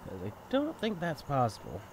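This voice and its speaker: A man's voice: a little high, huge pitch swings, moderate pace, background noise. A young man acting in an intimate audio roleplay as a sibling's best friend, talking candidly and casually in a caring, teasing way. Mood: wry, reflective. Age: young adult. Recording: night ambience with chirping crickets. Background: crickets and insects, outdoor ambience.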